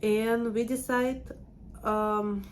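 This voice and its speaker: A woman's voice: a little high, steady tone, very slow, a little hiss or hum. A woman in her thirties from Siberia with a strong Russian accent, chatting casually in a personal vlog and laughing often. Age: 30s. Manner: chatty and warm, laughs often, many fillers. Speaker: permanent makeup trainer and channel host.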